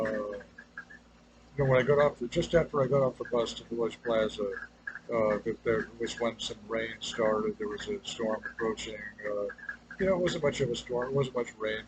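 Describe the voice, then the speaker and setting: A man giving an unscripted, rambling personal monologue in a halting, hesitant way, trailing off and circling back to his point. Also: mid-range voice. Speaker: personal video maker. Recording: clearly noisy ambient background.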